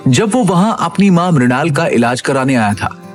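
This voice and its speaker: Casting: male narrator for audio series, fairly high, lively intonation, dramatic scripted delivery over faint music. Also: moderate pace. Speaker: story narrator.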